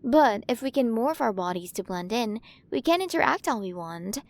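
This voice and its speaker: A woman's voice: on the high side, sing-song, moderate pace. A woman voicing a playful, curious alien queen of a galaxy in an ASMR roleplay, speaking to the listener in a fascinated, coaxing way. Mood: chatty, confiding.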